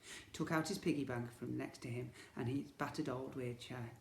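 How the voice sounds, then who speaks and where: A man reading a children's story as a teacher would, with dramatic, expressive narration. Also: mid-range voice, normal speed, vocal fry. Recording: echoey room.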